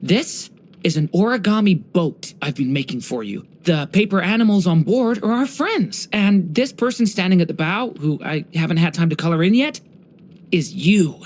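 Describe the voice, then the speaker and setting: An actor voicing an earnest, curious young boy dragon in human form for a video game, speaking politely and softly with some hesitance. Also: medium pitch, animated, normal speed, lightly acted. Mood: warm, affectionate. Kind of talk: scripted game character voice lines.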